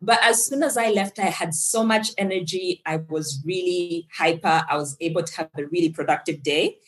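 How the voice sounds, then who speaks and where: A woman's voice: on the low side, normal speed. A woman from Zambia, a guest on an interview podcast, talking casually and upbeat with frequent laughter. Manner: upbeat, laughs often, animated when storytelling. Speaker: podcast guest, tech executive and fitness coach.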